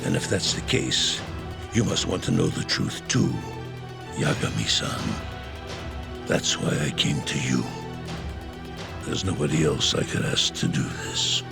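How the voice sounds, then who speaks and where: A powerful older businessman in a game drama, very gravelly and deep. He speaks in a measured, calculating manner, every phrase deliberate, with music playing clearly behind him. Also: big pitch swings, heavily acted. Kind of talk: dramatic video game cutscene acting. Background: dramatic background music.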